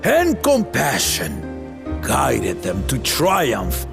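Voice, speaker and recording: A man's voice: mid-range voice, wildly swooping pitch, extremely slow, creaky, music in the background, heavily acted. A man voicing a proud aging king and father in a game cutscene, giving a formal, stately ceremonial speech. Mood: solemn, stately. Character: proud aging king and father presenting his daughter as heir.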